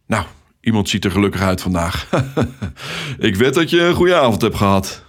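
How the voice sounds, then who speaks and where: A hearty male boss from a children's audio story, acted out by a man, with a husky voice. He is chatty and teasing, full of enthusiasm as he talks to his staff. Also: lowish voice, very animated, normal speed. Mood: teasing, excited.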